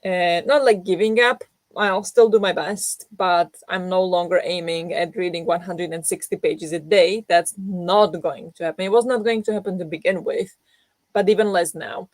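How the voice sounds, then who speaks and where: Young woman, low voice, just woken up, rambling casual chat, dry close sound. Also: steady tone, normal speed, darkish tone, dry recording. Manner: rambling, self-deprecating, many fillers and restarts. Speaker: book vlogger hosting a reading sprint.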